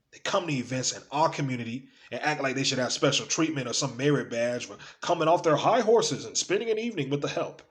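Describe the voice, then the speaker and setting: Man acting frank, animated, bitter young American man. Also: medium pitch, close to an everyday voice. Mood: pointed, resentful. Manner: emphatic, conversational, swearing, with deliberate pauses. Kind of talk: dramatic theatrical audition monologue.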